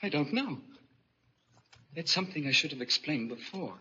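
An actor playing an earnest, haunted walking dead man in a 1940s radio drama, grave and halting. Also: on the high side, animated, bright, forceful tone. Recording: muffled, noisy 1940s radio recording.

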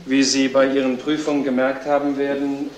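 A male actor plays a sober, formal man in a crime radio drama. His intonation is very flat. He sounds somewhat distant from the microphone in an echoing room. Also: mid-range voice, fairly quick, lightly acted. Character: sober, formal man reporting financial findings. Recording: echoey room, distant mic, faint noise.

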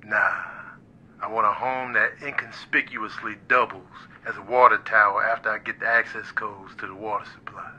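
Man acting a forceful, lowish scheming villain. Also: little pitch movement, moderate pace, dull audio, a little hiss or hum. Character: scheming, deadpan villainous male home buyer. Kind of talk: comedy sketch acting.

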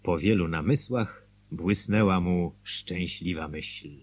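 An actor playing a former small-town mayor who recounts the town's history in a radio drama, husky and expressive. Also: male voice, deep voice, takes it slowly, full character voice. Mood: wry, expressive.

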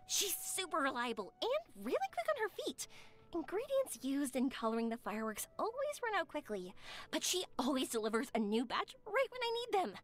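A high, girlish video game heroine, a cheerful young fireworks maker. Her delivery swings widely in pitch, chatty and expressive, like someone eagerly sharing stories with a friend. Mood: bright, curious. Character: cheerful, warm-hearted young woman fireworks maker, lively storyteller. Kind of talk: video game character voice lines, conversational storytelling.

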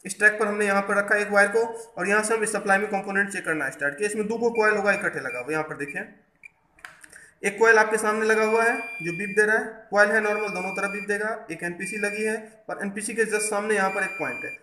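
A man's voice: high-pitched, steady tone, quick pace, bright, forceful tone. A man narrating a hands-on repair tutorial as an instructor, explaining step by step and mixing in English technical terms. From India. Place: indoors at a repair workbench. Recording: echoey room, faint background noise.

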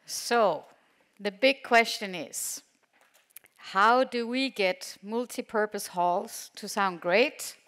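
A woman with a Danish accent, a native Danish speaker, chairing a session and making a measured stage introduction with pauses. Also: medium pitch, a bit bright. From Denmark. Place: large conference hall on a stage.

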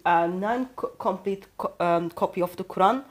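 A woman's voice: lowish voice, normal speed. A young woman from Turkey speaking English with a Turkish accent, talking carefully with hesitations in a study. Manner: careful with hesitations, non-native phrasing. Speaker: co-host. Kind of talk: conversational discussion. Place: indoor study with bookshelves.

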